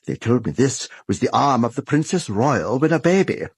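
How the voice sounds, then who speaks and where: Deferential British antique dealer, halting, obliging, husky, expressive pitch, dry recording. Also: male voice, no echo, heavily acted. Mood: obliging, selling. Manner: hesitant, obliging, stammering with ers. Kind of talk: radio drama acting.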